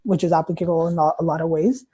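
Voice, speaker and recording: A young man who grew up in Punjab, answering interview questions on a video call in a hesitant, halting way with many uhs and restarts. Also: a little high, steady tone, dark tone, slightly rough. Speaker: guest poet. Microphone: computer mic on video call.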